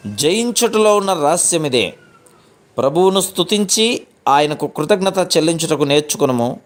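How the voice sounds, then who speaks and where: A man with a slightly high voice reads a scripted devotional text. His delivery is formal and measured, in the manner of scripture reading. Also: lively intonation.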